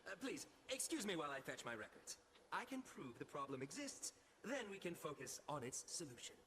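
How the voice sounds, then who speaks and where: Animated high-voiced little professor. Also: male voice, brisk, very noisy. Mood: flustered. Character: earnest male gnomish fantasy scientist professor. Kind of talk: formal academic lecture.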